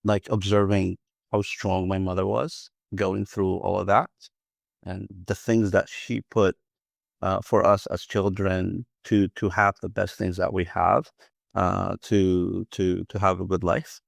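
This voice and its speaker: Low gravelly man, hesitant answers. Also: little pitch movement, a little slow, dry recording, clean studio sound. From Iraq. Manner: hesitant, many fillers and restarts. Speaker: guest, analytics professional. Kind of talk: conversational interview answers.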